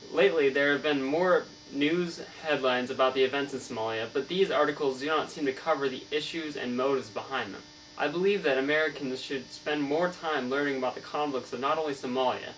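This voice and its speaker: A man's voice: medium pitch, fairly bright tone, natural voice. A man playing a learned, earnest novelist in a scripted mock interview, speaking formally as if reciting and stumbling over words now and then. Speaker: student playing an interviewed author. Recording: distant mic, clear mains hum.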